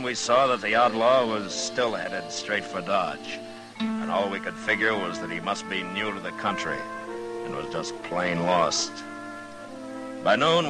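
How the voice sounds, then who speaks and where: A low-voiced, authoritative frontier marshal played by a man from an old radio western. He speaks plainly and steadily, in an old broadcast recording. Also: lightly acted, rough recording. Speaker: lead actor and narrator. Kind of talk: 1950s radio western acting, first-person narration.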